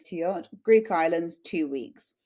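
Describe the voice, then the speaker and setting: A woman's voice: fairly low pitch, expressive, unhurried, warm tone. An English woman in her late twenties, chatting and telling anecdotes as an interview guest. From UK, small town in Suffolk. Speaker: podcast guest. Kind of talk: conversational interview.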